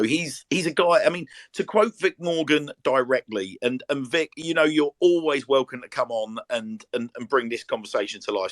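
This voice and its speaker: A man's voice: medium pitch, a bit bright, no echo. Rambling male podcast host. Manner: rambling, repeats words, many fillers. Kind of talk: casual podcast chat.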